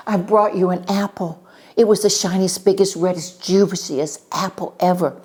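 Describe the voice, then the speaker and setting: A woman's voice: fairly low pitch, steady tone, normal speed, a little mellow, voice pushed up, lightly acted. A woman voicing a timid young boy lightning bug who is finding his courage, in an emphatic children's storybook read-aloud. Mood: upset, plaintive.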